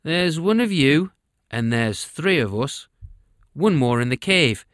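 A man's voice: medium pitch, animated, measured pace, no room sound, studio quality, natural voice. A man voicing an arrogant, mocking male enemy captain in a storybook reading, drawing out his taunts. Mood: taunting. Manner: taunting, sneering.

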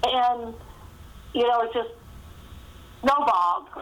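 A woman's voice: a little high, slow, fairly bright tone, poor audio. Middle aged lady being interviewed, chatty storyteller, says you know a lot, casual podcast talk.

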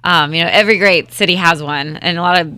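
A fast-talking woman from North Carolina, a guest chatting on a podcast. Her voice sits low and bright, and it is recorded close and dry. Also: clean tone, dry recording. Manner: fast-talking, upbeat and direct. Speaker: festival co-founder, guest. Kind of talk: casual interview chat.

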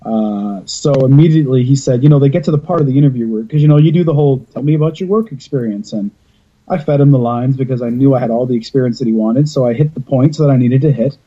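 A man's voice: mid-range voice, fast talker, mellow, husky, muffled recording. A man from Canada chatting casually and jokingly, rambling with fillers and restarts. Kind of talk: casual chat.

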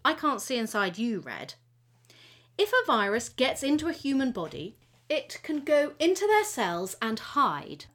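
A woman's voice: on the high side, a bit bright, heavily acted. A woman playing a friendly, proud T cell gardener who explains things patiently in a children's educational audio story. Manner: explanatory, teacherly, encouraging.

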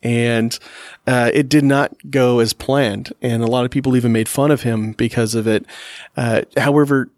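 A man hosting a podcast interview, enthusiastic and quick to laugh as he sets up questions for his guest. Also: mid-range voice, fairly even intonation, darkish tone, no room sound, studio quality. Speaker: podcast host.

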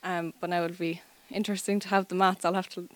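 A woman's voice: on the low side, flat delivery, fast. A young Irish woman in her twenties, a student teacher, chatting easily with fillers as a guest on a live radio interview. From Ireland. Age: 20s. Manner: chatty and easygoing, many fillers. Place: school, live radio broadcast.

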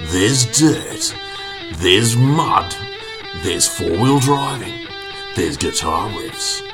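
An Australian man voicing a gruff, blokey ad voiceover man with a deep voice and a slow, sneering list-reading delivery. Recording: guitar music behind.

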